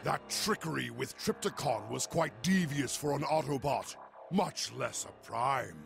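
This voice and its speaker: An actor voicing a cruel robot warlord, speaking in a menacing, gloating, imperious way in a game. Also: male voice, medium pitch, lively intonation, unhurried, vocal fry. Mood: sneering. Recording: game audio under loud music.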